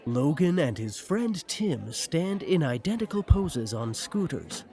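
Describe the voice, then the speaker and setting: Male narrator for a scripted podcast drama, slightly high voice, very lively intonation, faint music. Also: a little slow, a faint crowd.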